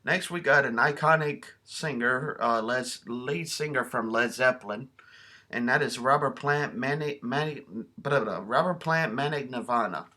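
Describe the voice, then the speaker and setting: A man's voice: medium pitch, moderate pace, sharp tone. A man hosting a casual show-and-tell vlog in a folksy, plain-spoken, enthusiastic way. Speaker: video host showing a cassette collection.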